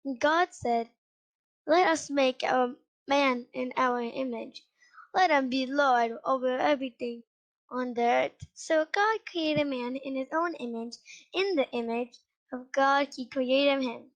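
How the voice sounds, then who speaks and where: High voiced girl or woman reading a bible storybook out loud, stumbles a bit on words. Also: slow, muffled recording. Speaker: storybook reader. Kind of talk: read-aloud children's Bible story.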